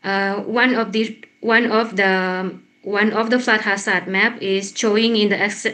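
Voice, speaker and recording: A woman from Thailand with a Thai accent gives an academic presentation in a halting way, often repeating words. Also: medium pitch, steady tone, bright, forceful tone. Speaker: conference presenter, researcher.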